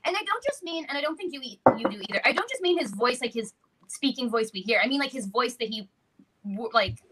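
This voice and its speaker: A woman co-hosting a casual stream chat, talking in an animated, teasing way. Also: on the high side, fast, heavy background noise.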